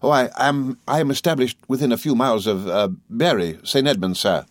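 A man's voice: mid-range voice, no echo, studio quality, voice pushed up, close to an everyday voice. A man doing a meek, timid little country doctor. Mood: meek, fluttered. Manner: slow, apologetic, hesitant, with deferential sirs.

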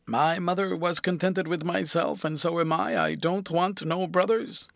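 A man voicing a grumpy, plain-spoken coach driver who talks slowly and grudgingly, in an audiobook. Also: a little high, fairly even intonation, creaky, fairly bright tone, dry recording, clean studio sound. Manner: grudging, slow and deliberate. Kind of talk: audiobook character voice.

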